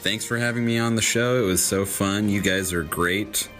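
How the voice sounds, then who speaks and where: Male guest performer, low register, casual conversational delivery over background music. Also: steady tone, moderate pace, fairly bright tone. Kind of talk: casual chat.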